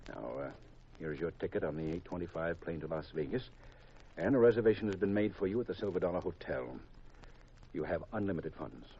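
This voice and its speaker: An actor playing a grave, secretive government intelligence chief in a 1950s radio drama, deliberate and confidential on a vintage recording. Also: male voice, low-pitched, expressive, warm tone, husky, lo-fi sound, full character voice. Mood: grave, instructing.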